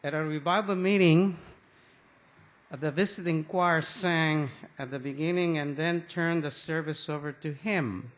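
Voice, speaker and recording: Older man, fairly high voice, slow, halting, restarts often, church talk. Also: fairly bright tone. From Mexico. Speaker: guest church speaker. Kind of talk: anecdotal sermon talk.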